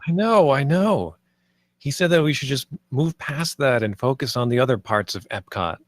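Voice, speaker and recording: A man's voice: mid-range voice, lively intonation, normal speed, dry recording, close to an everyday voice. Earnest, pleading man acted, dry sound. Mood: insistent. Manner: pleading, insistent. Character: earnest, stubborn male theme-park designer, exasperated. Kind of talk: live screenplay table read.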